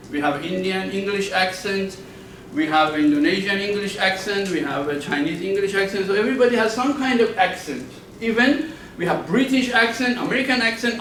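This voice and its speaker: A man with a high voice lectures a class at a slow, deliberate pace, enunciating carefully and often restarting his sentences. He sounds set back from the mic in an echoey classroom, with faint noise behind him. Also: fairly bright tone, recorded from a distance. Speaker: university lecturer. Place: university classroom.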